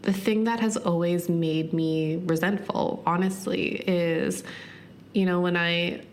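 A woman's voice: on the low side, fairly even intonation, slight background noise. A woman in her thirties hosting a solo podcast, talking earnestly and reflectively with many "like" fillers. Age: 30s. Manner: earnest, frequent "like" fillers, speeds up and slows for emphasis. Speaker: podcast host.

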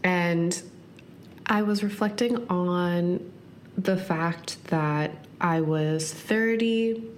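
A woman's voice: fairly low pitch, slight background noise. A woman in her thirties hosting a solo podcast, talking earnestly and reflectively with many "like" fillers. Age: 30s. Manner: earnest, frequent "like" fillers, speeds up and slows for emphasis. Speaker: podcast host.

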